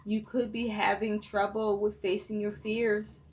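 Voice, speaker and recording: A woman giving a tarot reading for her viewers, listing phrase after phrase in a slow, measured way. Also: medium pitch, level tone. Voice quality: voice rough and breaking, coughing. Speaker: tarot reader.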